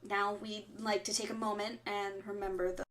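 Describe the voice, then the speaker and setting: Lady acting as resistance radio announcer, medium pitch, composed and official, audio drama. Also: level tone, normal speed, fairly bright tone, close to an everyday voice. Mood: solemn. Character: composed female resistance announcer relaying command's orders. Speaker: radio co-host in a fiction drama. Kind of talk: amateur audio drama, fictional pirate radio broadcast.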